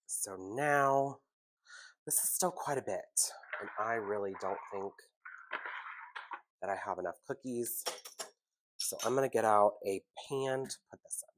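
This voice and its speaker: A man hosting a casual home baking tutorial, chatty and relaxed, with a congested, sniffly, nasal voice from a cold. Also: lowish voice, a little slow, pauses often, no echo, poor audio. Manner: chatty, relaxed, self-deprecating asides.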